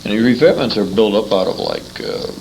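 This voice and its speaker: Gravelly American man, low casual voice. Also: huge pitch swings, brisk, darkish tone. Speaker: interviewer, military veteran. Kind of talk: casual conversational storytelling. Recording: faintly noisy informal interview recording.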